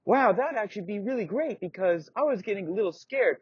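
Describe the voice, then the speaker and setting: A man voicing an eager, slightly scared little boy in a chirpy, excitable way. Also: sits high, brisk, husky, no room sound, voice pushed up. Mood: nervous. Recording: dull lo-fi recording.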